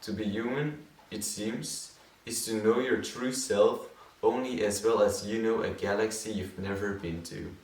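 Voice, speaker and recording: A young man with a Dutch accent, a non-native English speaker, hosting a poetry channel, talking gently and hesitantly and reading poems aloud. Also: lowish voice, steady tone, distant mic. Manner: gentle, unhurried, hesitant asides with many fillers. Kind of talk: soft spoken-word poetry reading. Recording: echoey room, set back from the mic.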